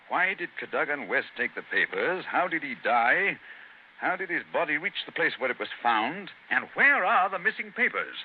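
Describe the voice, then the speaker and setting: Casting: a witty British detective, theatrical and teasing, very animated pitch, thin noisy vintage radio sound. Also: male voice. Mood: wry, amused. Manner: brisk, teasing, theatrical, questioning. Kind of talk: old-time radio drama acting. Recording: narrow-band noisy vintage radio recording.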